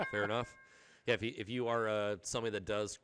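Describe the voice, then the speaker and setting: A man's voice: on the low side, flat delivery, a little fast, noisy background. A man with a head cold, sounding stuffy, hosting a podcast with easygoing banter and chuckles. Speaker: podcast host. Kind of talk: casual chat.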